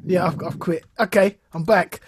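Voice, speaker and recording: A man co-hosting a podcast in casual banter, amused, teasing and quick to mock himself. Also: high voice, slightly rough, no echo, studio quality. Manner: amused, teasing, self-mocking. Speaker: podcast co-host.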